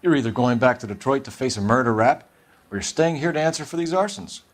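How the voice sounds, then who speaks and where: Stern interrogating cop for a period crime game, male actor; accusatory, animated delivery, mid-range voice. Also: mid-range voice, fast talker, natural voice. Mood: hard, accusing. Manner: blunt, accusatory. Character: stern, accusing 1940s police detective interrogating suspects. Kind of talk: dramatic video game interrogation acting.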